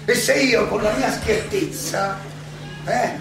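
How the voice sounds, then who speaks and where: A man acts out an aging philosopher addressing his judges in a slow theatrical monologue. He is halting and rhetorical, pausing and repeating himself for weight. The voice is gravelly and comes from far off in a noisy, reverberant space. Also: high voice. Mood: earnest, reflective. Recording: distant, very echoey, noisy stage recording.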